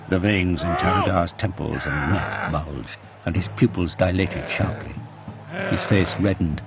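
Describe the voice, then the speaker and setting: A man narrates an audio drama in a low-pitched voice. His reading is grave and deliberate, with dramatic intensity and clear music playing behind him. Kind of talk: dramatic scripted fiction narration.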